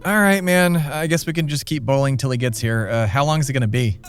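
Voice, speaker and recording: A man's voice: mid-range voice, fast, clear, resonant tone, soft background music, lightly acted. A man playing a sarcastic, laid-back, foul-mouthed podcast producer in a comedy audio drama, talking in casual slang. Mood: casual, exasperated.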